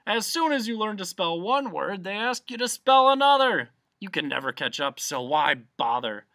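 Someone putting on a pompous, blustering old humbug bug, swooping loudly. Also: mid-range voice, sing-song, moderate pace, no echo, voice pushed up. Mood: pompous boasting. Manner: pompous, shouting, boastful.

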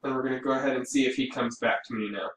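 Man in roomy, distant-mic tutorial, even tone. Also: mid-range voice, racing. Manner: informal, explanatory, thinking aloud. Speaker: amateur radio operator giving a tutorial. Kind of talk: casual screen-recorded software walkthrough.

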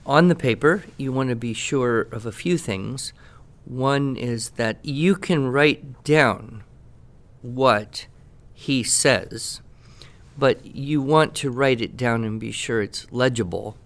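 A man in casual podcast talk, giving teaching advice in a measured, deliberate, dryly playful way. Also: mid-range voice, a bit bright. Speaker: podcast guest expert answering questions.

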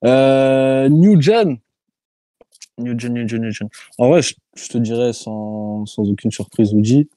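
A man's voice: medium pitch, slow pace, dark tone, no room sound, clean studio sound. A man from France, a YouTuber guest, answering interview questions over a video call in a hesitant, slangy way. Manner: hesitant, many fillers and restarts, loose slang.

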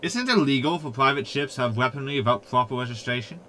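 A man's voice: medium pitch, fast talker, bright, forceful tone, slight background noise, close to an everyday voice. A man playing a sardonic, know-it-all hologram in a sci-fi sitcom audio drama, pompous and wordy. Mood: pedantic.

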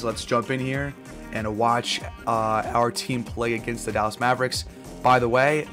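Kinda hoarse guy narrating a sports game, chill and rambly, gets louder on big plays, game music under him. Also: medium pitch. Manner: relaxed, filler-heavy talk, louder and livelier calling plays. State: slightly hoarse. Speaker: gaming YouTuber. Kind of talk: casual gameplay commentary.

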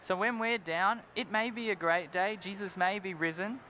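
Bright-voiced young man co-hosting. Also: high-pitched, normal speed, slight background noise. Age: young adult. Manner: earnest, upbeat, drops in "like" and "right?". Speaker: co-host of a youth Bible panel. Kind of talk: live devotional discussion. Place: large indoor convention hall stage with crowd. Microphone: headset mic.

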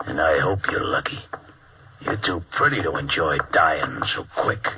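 A man's voice: rumbling low, lively intonation, normal speed, distant mic. An actor playing a menacing, coldly casual henchman with tough-guy slang in an old radio crime drama. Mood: cold menace. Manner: threatening tough-guy slang, calling women sister. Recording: old noisy muffled radio recording.

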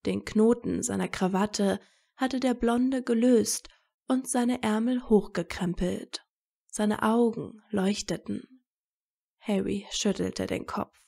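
Slow, mellow woman narrator with creaky edges. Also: mid-range voice. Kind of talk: scripted audiobook narration.

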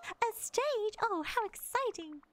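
A woman's voice: extremely high pitch, lively intonation, measured pace, noisy background, pitched above the performer's own voice. A woman voicing a cheerful, perky cartoon mouse girl in a sweet, sing-song way while reading game dialogue aloud. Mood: excited.

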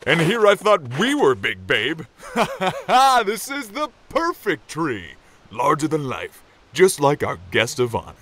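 A man's voice: on the high side, huge pitch swings, a little slow, sharp tone, a little hiss or hum, heavily acted. A man playing a giant, boastful and folksy lumberjack in an audio drama, with a hearty, theatrical manner. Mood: boastful, jolly.

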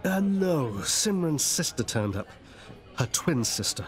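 An actor playing a mild British pub regular in a radio soap, speaking in a casual, colloquial way. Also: male voice, a little high, big pitch swings, a little slow. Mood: casual, curious. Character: mild, chatty male pub regular and friend. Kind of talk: naturalistic radio soap acting.

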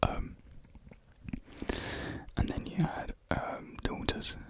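A young man speaks in a breathy whisper close to the microphone, rambling softly and hesitantly in a casual ASMR chat. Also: drawn-out words. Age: young adult. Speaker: ASMR video creator. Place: small room with painted block walls. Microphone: large desktop USB condenser microphone, close up.

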